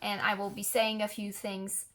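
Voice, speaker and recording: A woman's voice: medium pitch, flat delivery, moderate pace, fairly bright tone. A young woman from Slovenia, not a native English speaker, talking casually and candidly to camera in a book vlog. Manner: chatty, candid, looks down at a list. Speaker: book vlogger.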